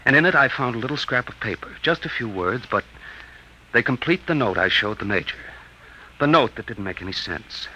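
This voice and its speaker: Sombre determined man in old radio drama, deliberate n confiding, piercing forceful voice, bit of hiss. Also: medium pitch, lively intonation. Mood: grave, resolute. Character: sombre young man dictating a farewell report, quietly resolute. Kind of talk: 1950s radio drama acting, first-person narration. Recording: noisy old radio broadcast recording.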